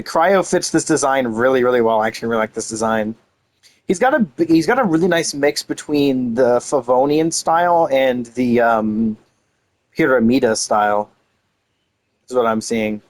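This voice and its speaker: A man's voice: mid-range voice, normal speed. A young man giving casual, rambling game commentary with enthusiasm, often repeating himself. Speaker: game streamer.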